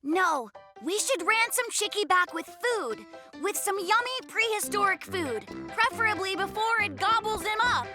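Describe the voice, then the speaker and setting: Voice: very high-pitched, lively intonation, measured pace. A performer voicing a friendly, sensible, encouraging little bear cub in a children's cartoon, upbeat and patient in explaining things. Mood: sensible, firm. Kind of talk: children's animated cartoon acting. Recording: loud children's music behind the voice.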